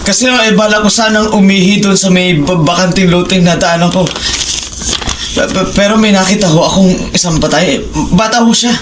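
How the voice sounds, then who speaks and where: A man's voice: sits high, moderate pace, music in the background, natural voice. An actor playing a nervous, guilty-seeming man who stammers hesitantly as he talks to police in an audio drama. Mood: nervous, evasive. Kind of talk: Filipino audio crime drama acting. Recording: clear background noise.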